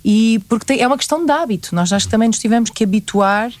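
A woman's voice: fairly high pitch, very fast, dry recording. A young woman with a European Portuguese accent, a singer-songwriter talking animatedly as a guest in an interview. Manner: lively, animated storytelling. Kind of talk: conversational interview.

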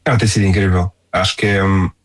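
A man's voice: low voice, flat delivery, fairly quick. A young man with a European Portuguese accent, chatting casually as a singer-songwriter in an interview.

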